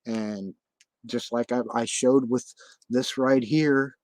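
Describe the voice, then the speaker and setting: A man rants profanely on a livestream, repeating himself as he hammers his points home. His voice sits at an ordinary pitch. The sound is dry and close. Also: steady tone, takes it slowly, no room sound. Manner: profane, ranting, repetitive. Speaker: livestream host. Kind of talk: casual livestream chat.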